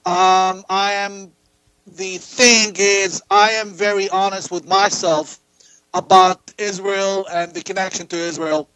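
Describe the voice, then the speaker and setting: A man from Jordan, answering as an interview guest in halting phrases with repeated words. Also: sits high, little pitch movement, bright, forceful tone, clean tone, no echo, rough recording. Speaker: interview guest, political activist and writer.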